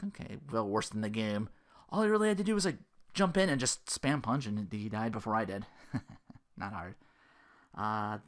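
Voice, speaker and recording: Animated man hosting a gaming podcast. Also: medium pitch. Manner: rambling, animated asides, acting out little quotes. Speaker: podcast host. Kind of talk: casual gaming podcast commentary.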